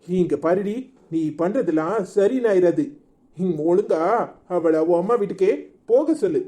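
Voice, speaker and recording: A woman playing a gruff, grumbling middle-aged husband in a comic family skit. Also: on the low side, moderate pace, mellow, natural voice. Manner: gruff, scolding.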